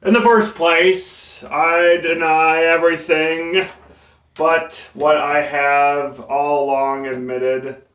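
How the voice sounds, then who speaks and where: Stage monologue: male actor as condemned abolitionist captain, defiant and devout, tense bright voice, deliberate slow emphatic delivery. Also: fairly high pitch, flat delivery. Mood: solemn, resolute. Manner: halting, emphatic oratory with stumbles. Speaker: poet and playwright performing a monologue. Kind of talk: dramatic courtroom speech, theatrical monologue. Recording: echoey room, distant microphone.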